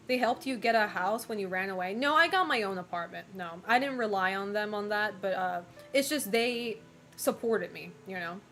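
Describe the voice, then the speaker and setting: A woman's voice: medium pitch, expressive, normal speed, a bit bright. Young woman streamer, casual and frank, lots of laughs and fillers, faint music under her. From United States and Japan. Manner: chatty, frank, laughs often, many fillers. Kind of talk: casual chat reading viewer messages.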